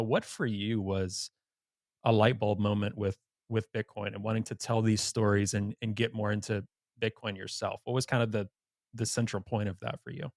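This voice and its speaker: A man's voice: lowish voice, darkish tone, dry recording. Man hosting a podcast, dry close sound. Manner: informal, opinionated, blunt. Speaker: podcast host. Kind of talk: conversational interview.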